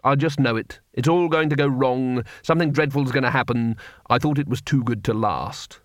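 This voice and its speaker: A man voicing a hapless, pessimistic wizard who complains in a flat, gloomy, resigned way. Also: medium pitch, normal speed, no room sound, clean studio sound, natural voice. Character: glum, pessimistic, cowardly hapless male wizard.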